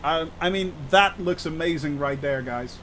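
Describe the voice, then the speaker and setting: Dutch-accented male streamer, high voice, chatty and halting, faint hum underneath. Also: a bit bright, low-quality recording. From Netherlands. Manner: chatty, hesitant, many restarts, laughs often. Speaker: flight sim streamer. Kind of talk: casual live-stream chat.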